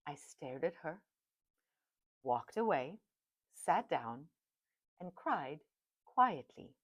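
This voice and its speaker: Middle-aged lady reading her memoir at home, lowish voice, dry close sound. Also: dry recording. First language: Afrikaans. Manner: reflective, with chatty asides. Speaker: podcast host and author. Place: home dining room.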